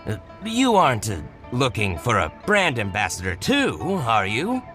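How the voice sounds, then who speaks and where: A man's voice: mid-range voice, wildly swooping pitch, normal speed, sharp tone. An actor playing a shrewd adult male boutique merchant in a video game, who haggles and schemes in a talkative way. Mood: scheming. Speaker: merchant character. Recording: game music bed under the voice.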